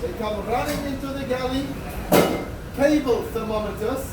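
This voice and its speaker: Man, tour guide, loud carrying voice, chatty and joking, echoey galley taped from across the room. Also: extremely high pitch, normal speed. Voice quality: loud, projecting voice. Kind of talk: guided tour talk to a group. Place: large ship kitchen, indoors. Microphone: far camera in the crowd. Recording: echoey kitchen from far off with loud background noise.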